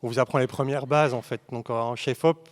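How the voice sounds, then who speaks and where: A man's voice: medium pitch, steady tone, brisk. A man from Belgium, speaking as a cinematographer and masterclass guest, explaining his work to an audience in an informal, conversational way, often rephrasing. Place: arts venue, live masterclass.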